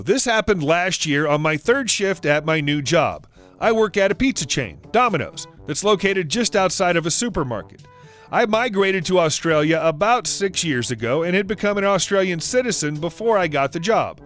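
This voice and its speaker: A man giving a scripted narration of online stories as the channel's narrator, reading in an even, steady way. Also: high voice, normal speed, soft background music. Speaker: story narrator.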